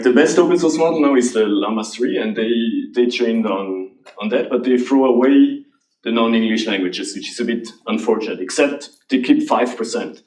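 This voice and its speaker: A man from Switzerland, answering audience questions about AI in a halting, restarting way. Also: medium pitch, darkish tone. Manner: halting, restarts often, laughs lightly. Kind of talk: conference Q&A. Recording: roomy hall, voice from a distance.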